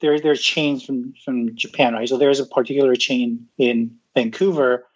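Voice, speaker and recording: A man from Taiwan, a podcast guest, explaining things in a casual, unhurried way, with frequent restarts and "right?" tags. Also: mid-range voice, steady tone, breathy edge. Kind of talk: casual interview talk. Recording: muffled lo-fi audio.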